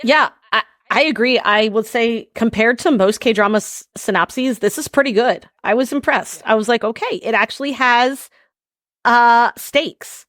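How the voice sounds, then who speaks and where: Husky-voiced woman podcast host, a bit hoarse. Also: fairly high pitch, a little slow, a bit bright, no echo, clean studio sound. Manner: chatty, laughing, reads synopsis aloud. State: slightly hoarse from yelling. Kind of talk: casual podcast chat.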